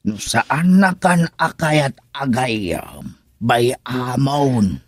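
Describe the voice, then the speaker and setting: A man's voice: mid-range voice, animated, extremely slow, dry recording, clean studio sound. An actor playing an older father who gives sympathetic, consoling counsel in a radio drama. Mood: concerned, consoling.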